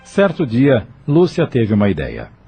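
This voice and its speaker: A man's voice: mid-range voice, wildly swooping pitch, measured pace, gravelly, mellow, breathy edge, soft background music. A man narrating an audio novel in a dramatic, scripted storytelling style.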